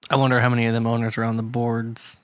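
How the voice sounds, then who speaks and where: A man's voice: mid-range voice, monotone, fast, dry recording. A man co-hosting a casual podcast chat, reading figures aloud and laughing often. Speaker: podcast co-host.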